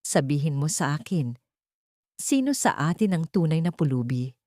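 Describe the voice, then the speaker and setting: A woman voicing a cold, vengeful young mother turned heiress in an audio story, calm, commanding and menacing. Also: deep voice, normal speed, dry recording, clean studio sound. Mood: quiet contempt.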